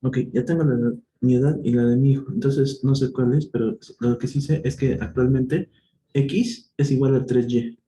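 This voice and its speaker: A man's voice: medium pitch, steady tone, dark tone. A man tutoring math live online, working through problems step by step, thinking aloud and correcting himself. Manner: thinks aloud, self-correcting, slow and patient. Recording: echoey room, faint noise.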